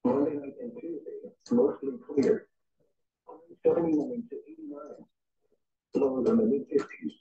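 A man's voice: very high voice, sing-song, a little slow, vocal fry, warm tone, recorded from a distance. Tinny synthetic male weather radio announcer. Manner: formal, impersonal, clipped announcing. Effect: synthesized voice played over a weather radio. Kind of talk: scripted automated weather forecast reading. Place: radio playing in a home room, picked up from a distance. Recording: tinny band-limited radio, picked up from across a room.

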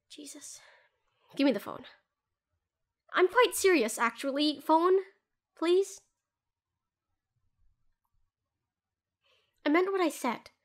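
High-pitched protective female doctor, played by a woman. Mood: urgent, protective. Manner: earnest, soothing, hushed at times, fierce when protective. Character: protective, tender female doctor comforting an injured ex-lover. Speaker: voice actor in an audio roleplay. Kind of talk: scripted intimate audio roleplay addressed to the listener.

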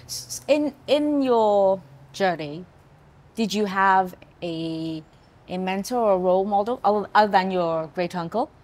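A middle-aged woman with a mid-range voice leads an interview, putting her questions slowly and deliberately. She sits in a bright office lounge and is recorded on a lapel mic. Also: mid-range voice. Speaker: interviewer, magazine founder. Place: bright open office lounge with large windows.

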